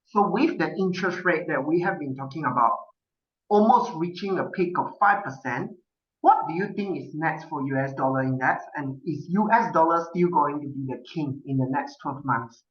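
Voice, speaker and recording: A man's voice: on the high side, moderate pace. A man hosting a podcast interview over a video call, often tagging his sentences with "okay?". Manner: ends points with a checking "okay?". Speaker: host. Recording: dull roomy video call audio.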